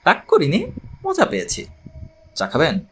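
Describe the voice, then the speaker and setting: A man's voice: high voice, wildly swooping pitch, unhurried, fairly bright tone, breathy edge, heavily acted. A man voicing a wry, unflappable male investigator in an audiobook, dry and plain-spoken. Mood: wry, calm.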